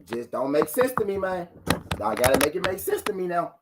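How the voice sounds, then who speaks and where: A man's voice: high-pitched, very animated, brisk, heavy background noise. A man hosting a live boxing talk show, arguing his point with rhetorical questions, repeating himself and greeting viewers from the chat.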